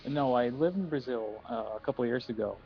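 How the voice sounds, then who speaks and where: A man's voice: mid-range voice, fairly quick, gravelly. A man from Norway, a writer and guest on a livestream, chatting politely and cheerfully. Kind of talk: casual chat. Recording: dull lo-fi call audio with faint music.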